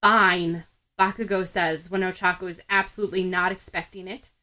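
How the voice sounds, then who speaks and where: A woman's voice: fairly low pitch, little pitch movement, brisk, pushed and bright. A woman reading scripted story narration as a podfic narrator.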